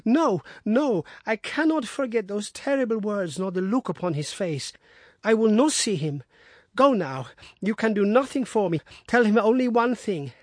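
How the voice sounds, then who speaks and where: A man's voice: animated, normal speed, dry recording, studio quality. A man voicing a distraught, anguished young wife who pleads in an emotional, raised voice. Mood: adamant. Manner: pleading, emotional, high-pitched.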